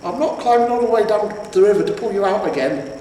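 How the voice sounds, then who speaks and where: A man's voice: sits high, quick pace, warm tone, pitched above the performer's own voice, natural voice. A man voicing a huge female troll, a scornful, nagging wife and mother who scolds and sneers in a storybook reading. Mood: bellowing, nagging. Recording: echoey reverberant room, distant mic, faint noise.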